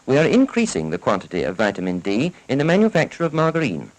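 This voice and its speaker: A man's voice: mid-range voice, huge pitch swings, fast talker, rough recording. A man with a British accent giving a formal, instructive scripted narration in the style of a 1940s educational film, on a vintage soundtrack. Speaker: narrator of a public information film.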